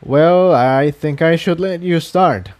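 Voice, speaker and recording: A man voicing a kind, steady male pony and loyal friend in a storybook reading, sincere, gentle but firm. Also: fairly high pitch, slow pace, pitched above the performer's own voice. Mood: easygoing.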